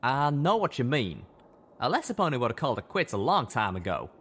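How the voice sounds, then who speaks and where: A man's voice: mid-range voice, sing-song, fairly quick, a bit bright, husky, pitched above the performer's own voice. A man voicing a blunt, loyal male pegasus pony with a folksy drawl. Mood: heartfelt. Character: male cowboy-like pegasus pony, plain-spoken loyal friend.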